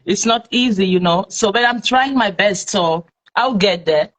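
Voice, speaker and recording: A woman's voice: medium pitch, moderate pace, fairly bright tone, low-quality recording. A Nigerian woman hosting a live-streamed talk show, chatting with followers and leaning on plenty of fillers. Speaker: host.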